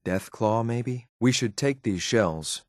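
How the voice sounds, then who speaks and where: A man's voice: mid-range voice, slow pace, dry recording, studio quality, lightly acted. A man playing a cool, efficient synth enforcer in a video game, clipped and matter-of-fact, watchful and faintly condescending. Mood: detached, observant. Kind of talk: video game companion voice lines.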